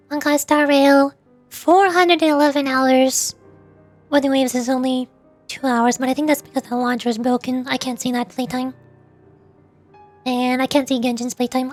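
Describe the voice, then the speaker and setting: A woman livestreamer chatting casually over a game in a playful, rambling way. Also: sits high. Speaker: livestreamer, VTuber host. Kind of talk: casual chat while streaming a game. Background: faint music.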